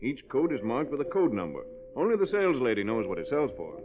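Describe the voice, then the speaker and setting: An actor playing a proper, guarded department store manager in a 1940s radio drama, speaking formally with slight hesitation. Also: male voice, mid-range voice, huge pitch swings, fast, background noise. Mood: guarded, helpful. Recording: tinny, band-limited old radio recording.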